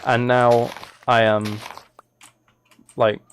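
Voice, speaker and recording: A man live streamer talks in a medium-pitched voice as he plays. He thinks aloud in loose, hesitant, rambling sentences, chatting casually with whoever is watching. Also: little pitch movement, extremely slow, slight background noise. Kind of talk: casual live stream chat while gaming.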